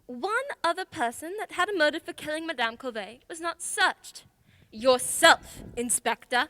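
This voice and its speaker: A woman's voice: high voice, moderate pace, sharp tone. A woman acting a confident, precise and assertive female detective in a radio play. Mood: poised, pointed. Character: confident female detective, sharp and methodical, accused of murder.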